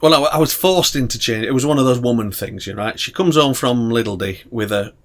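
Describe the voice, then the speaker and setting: A middle-aged man, co-hosting a casual podcast and telling crude stories that get loud and laughing as he warms up. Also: medium pitch, brisk. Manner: crude, laughing storyteller, gets loud when worked up. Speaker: podcast co-host. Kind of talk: casual banter.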